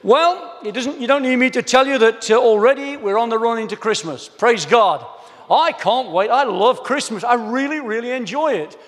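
A man preaching a sermon, reading scripture slowly and then chatting eagerly to the congregation. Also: extremely high pitch. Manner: animated, enthusiastic, chatty asides to the congregation. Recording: hall reverb.

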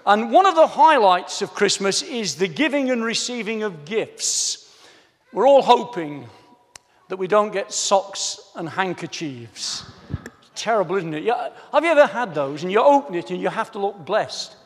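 A man preaching a sermon, reading scripture slowly and then chatting eagerly to the congregation. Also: high-pitched. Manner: animated, enthusiastic, chatty asides to the congregation. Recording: hall reverb.

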